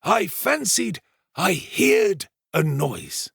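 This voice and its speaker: A man voicing a pompous, self-important male servant in a storybook reading, with drawn-out, emphatic delivery. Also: sits high, wildly swooping pitch, gravelly, a bit bright, husky, no room sound, clean studio sound, pitched above the performer's own voice, full character voice. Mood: self-important, suspenseful.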